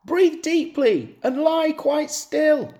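A reader voicing a desperate drowning man in a comic poem, with high squealing cries. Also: expressive, slow pace, dark tone, heavily acted. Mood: frantic.

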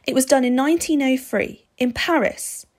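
Woman as an eager high-pitched stubborn schoolboy. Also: normal speed. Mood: insistent. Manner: insistent, earnest, pleading.

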